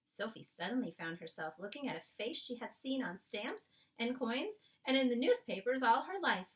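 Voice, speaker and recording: A woman reading a children's book aloud expressively, with careful, dramatic phrasing and pauses. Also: fairly high pitch, animated, far from the mic, poor audio. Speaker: storybook reader.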